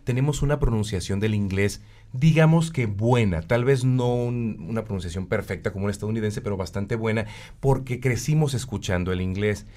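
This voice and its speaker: A man from Tijuana, hosting a morning radio interview in an animated way, often restarting his words. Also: lowish voice, brisk. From Tijuana, Mexico. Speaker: radio host.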